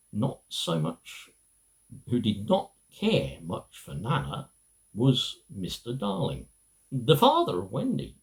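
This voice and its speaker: A middle-aged man reads a children's story aloud in a fairly low voice. His pitch swoops widely from phrase to phrase. He takes his time, with pauses and expressive storytelling. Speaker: amateur reader.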